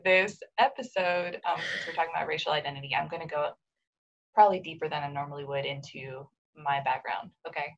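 Low, husky-voiced woman in her twenties. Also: brisk, lo-fi sound. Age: 20s. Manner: relaxed, uses fillers, laughs lightly. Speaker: podcast guest. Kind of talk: casual conversational storytelling.

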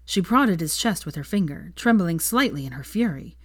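A woman's voice: on the low side, animated, moderate pace, slightly rough, dry recording, clean studio sound. A woman reading fiction aloud as an audiobook narrator, in scripted storytelling style.

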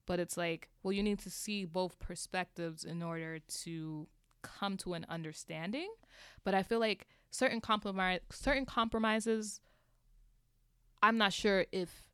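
A woman with a smooth, clear, slightly low voice talks in a casual group discussion. She sounds thoughtful and a little hesitant, and the sound is dry. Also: a bit bright, no echo. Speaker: discussion participant.

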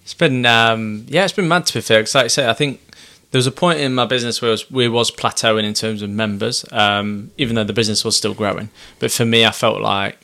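A young man talks about himself in a soft, unhurried way. His voice sits fairly low and has a gravelly edge. He hesitates often and restarts his sentences. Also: fairly even intonation. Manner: soft-spoken, hesitant, many ums and restarts. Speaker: business owner and podcast guest. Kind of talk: reflective casual chat.

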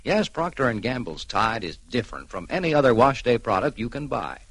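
A man's voice: medium pitch, very animated, brisk, breathy edge, dry recording. A man announcing a vintage radio commercial with emphatic, punchy phrasing. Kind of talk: vintage 1940s-50s radio advertising pitch.

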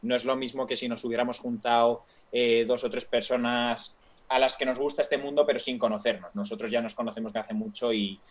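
A man's voice: mid-range voice, level tone, a little fast. A young man from Spain with a Peninsular Spanish accent, chatting as a guest YouTuber in a hesitant, friendly way full of fillers. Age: young adult. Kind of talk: casual live-stream chat.

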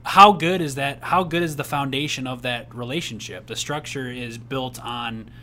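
A young man co-hosting a casual advice podcast, earnest and rambling with restarts, laughing easily, who says his voice is on the high side. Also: normal speed, pushed and bright. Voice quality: says he has a rather high voice. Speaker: podcast co-host.

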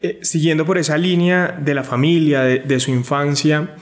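A man hosts an interview, his voice sitting a little high. He asks his questions conversationally, stumbling now and then with fillers as he frames them. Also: moderate pace. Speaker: podcast host. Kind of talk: interview hosting.

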